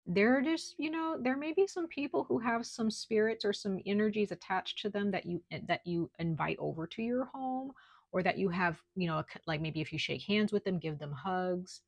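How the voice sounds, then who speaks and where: A woman giving a casual tarot reading at home, speaking softly at times and peppering her talk with "like" and "okay?". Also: medium pitch, normal speed, creaky, no echo. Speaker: tarot reader. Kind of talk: casual spiritual reading to camera. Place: at home, noise outside.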